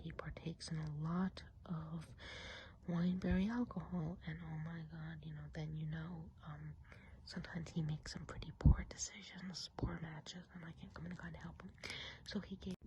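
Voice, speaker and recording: A woman whispering softly and breathily in a chatty, confiding ASMR roleplay as a gossipy witch of the woods. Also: on the low side, slight background noise. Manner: confiding, unhurried, casual gossip. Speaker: ASMR performer.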